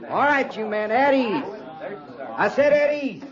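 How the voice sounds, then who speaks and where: An actor playing a gruff, sardonic cavalry sergeant barking orders in a 1950s radio western. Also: male voice, very high voice, lively intonation, measured pace, a crowd in the background. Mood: commanding, sardonic. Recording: muffled 1950s radio recording, background voices.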